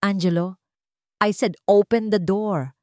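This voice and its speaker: A woman's voice: medium pitch, unhurried, dark tone, no room sound, clean studio sound, lightly acted. A woman voicing a stern, desperate rich father in a dramatic story, commanding and firm. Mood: angry, desperate.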